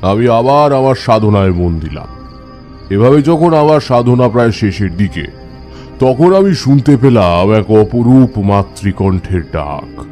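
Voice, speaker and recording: Man as mellow-voiced tantric ascetic telling his tale. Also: on the low side, animated, measured pace, soft background music. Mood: awed, suspenseful. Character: devout male tantric ascetic recounting his eerie encounters. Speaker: first-person narrator. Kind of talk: dramatic scripted horror narration.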